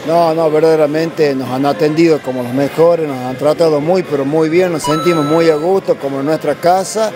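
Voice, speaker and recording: A man's voice: on the high side, fairly even intonation, fairly quick, few pauses. A man in his fifties with an Argentine accent, a coach giving casual interview answers. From La Rioja, northern Argentina. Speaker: padel coach. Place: padel club by the courts. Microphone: handheld interviewer microphone. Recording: noisy crowd around the club.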